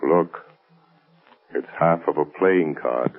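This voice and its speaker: Very deep, slow, sinister phantom avenger. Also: male voice, heavily acted. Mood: taunting, ominous. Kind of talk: 1930s-40s radio drama acting. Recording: old dull radio recording with music.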